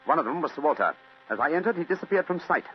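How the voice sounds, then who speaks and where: An actor playing a commanding, shrewd British gentleman detective, clipped and theatrical, in a vintage radio drama. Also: on the high side, animated, rapid-fire, husky. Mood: urgent. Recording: dull old radio recording, faint music.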